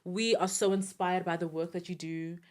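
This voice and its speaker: A woman's voice: lowish voice, steady tone, moderate pace. A woman with a South African accent co-hosting a casual chat show, speaking in an effusive, emphatic way. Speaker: talk show co-host.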